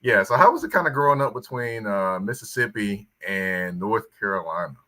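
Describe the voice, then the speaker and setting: A man hosting a podcast interview, asking questions in a relaxed, laid-back way. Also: lowish voice, moderate pace. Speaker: podcast host. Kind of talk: casual interview chat.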